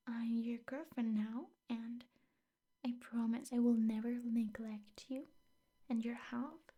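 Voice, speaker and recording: A possessive yandere teacher girlfriend, acted by a woman in an intimate ASMR roleplay. Her voice is fairly high yet very soft and dark-toned, full of breath and often dropping to a whisper. She coaxes sweetly, with menace under the doting. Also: husky, full character voice.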